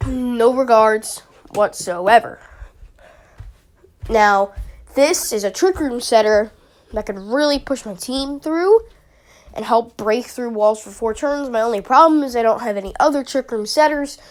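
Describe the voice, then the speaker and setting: A woman's voice: a little high, unhurried. A young woman with a sniffly summer cold, chatting casually and rambling about her team picks, with many fillers. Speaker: YouTuber analyzing a draft league team. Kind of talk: casual commentary.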